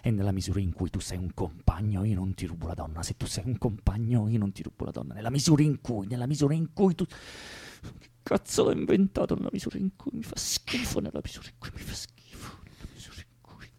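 Give the radio drama an anguished, erratic young man. His voice is creaky and dark, recorded very dry. He pleads and teases, repeats himself and slips toward whispers. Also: on the low side, very animated, a little fast, dry recording, heavily acted. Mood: mocking, bitter. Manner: volatile, repetitive, drifting into whispers. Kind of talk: naturalistic radio drama acting.

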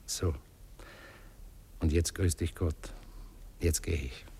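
An actor playing a sentimental, muddled Viennese gentleman in a radio play, speaking haltingly and musing as he goes. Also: low-pitched, fairly even intonation, breathy edge, full character voice. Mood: tender, musing. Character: sentimental, muddled gentleman client, wistful and awkward. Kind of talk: naturalistic German radio play acting.

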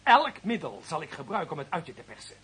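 A very husky, rough-edged vindictive official in a radio play. His voice is fairly high for a man and cuts through with a hard, bright edge. He threatens theatrically, drunk on his own power. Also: very animated, fast, full character voice. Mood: gloating, accusing. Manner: menacing, theatrical, swinging between hiss and bellow. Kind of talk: radio drama acting.

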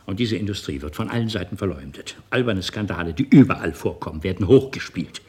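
A domineering middle-aged patriarch in a radio drama. His voice is low and swoops widely as he lectures with patronizing, self-righteous rhetoric, pressing and manipulating his listener. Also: expressive, fast, a little mellow, breathy edge. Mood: indignant. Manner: rhetorical, patronizing, swinging from reasoned to indignant. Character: domineering company boss father, self-righteous, manipulative, pleading. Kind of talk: German radio drama acting.

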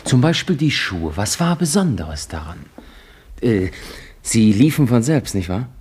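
A man's voice: medium pitch, big pitch swings, a little slow, darkish tone, breathy edge, exaggerated voice. A young actor playing an impatient young man pressing with questions, in a 1950s radio drama. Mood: eager insistent. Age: young adult. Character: eager impatient young man seeking a miracle.

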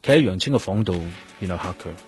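An actor with a Hong Kong accent playing a determined, probing police detective in a radio drama. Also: male voice, deep voice, animated, fast, a little mellow. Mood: serious, dogged. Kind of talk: Cantonese radio drama acting. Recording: music bed behind the voice.

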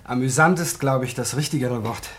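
An actor playing a genial, courteous country husband with a wry, slightly hesitant manner in a German radio play. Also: male voice, medium pitch, brisk, fairly bright tone, natural voice.

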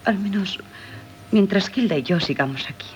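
Young female voice, Castilian Spanish, yearning emotional stepdaughter, played by an actress, mid pitch, theatrical radio drama, background noise. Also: lively intonation, normal speed, close to an everyday voice. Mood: suspicious, reproachful.

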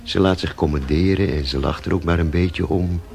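A man's voice: sits low, normal speed, creaky, darkish tone, slight background noise. An actor playing a talkative, cynical barman in a naturalistic radio drama, speaking in a hesitant, rambling way. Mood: fond, musing. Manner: hesitant, rambling, with self-corrections. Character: talkative café barman, cynical, fond of missing bandmate.

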